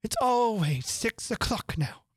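A woman voicing an excitable, mournful male hatter who rambles theatrically. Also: on the high side, husky, voice pushed up, heavily acted. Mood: whimsical. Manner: theatrical, rapid swings from chatter to gloom.

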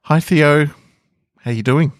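A man's voice: on the high side, slow, no room sound, clean studio sound, voice pushed up. A man voicing a friendly, confident teenage girl who talks in a teasing, easygoing way.

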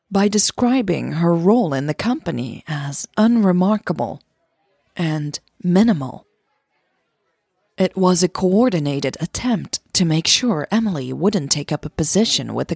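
A native Dutch woman narrates romantic fiction in a low, breathy, husky voice. She reads slowly, with a creaky edge to her words. The sound is close and intimate to the mic. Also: darkish tone, dry recording. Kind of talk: scripted fiction narration, romance audio drama.

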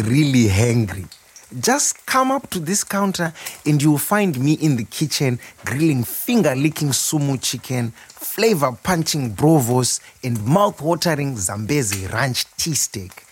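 An actor playing a warm, proud, playful nurse turned chef in an expressive audio monologue. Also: male voice, medium pitch, slight background noise, close to an everyday voice. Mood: playful, enthusiastic. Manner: expressive, reflective, wryly humorous. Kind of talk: dramatic first-person monologue.

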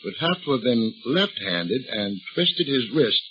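An actor playing a shrewd, folksy older police detective, drawling and calmly needling a suspect in a 1930s radio drama. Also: male voice, mid-range voice, a little slow. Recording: dull old radio recording with faint hiss.

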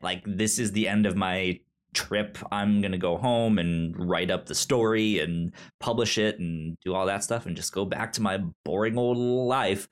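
A man's voice: fairly low pitch, a bit bright. A man co-hosting a podcast, chatting casually about film with a frequent stammer, repeating syllables and searching for words. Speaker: podcast co-host.

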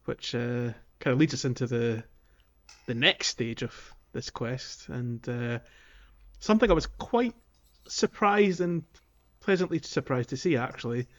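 A man's voice: medium pitch, a little slow. A man co-hosting a gaming podcast in a casual, conversational way. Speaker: podcast co-host. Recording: dull, lo-fi voice recording.

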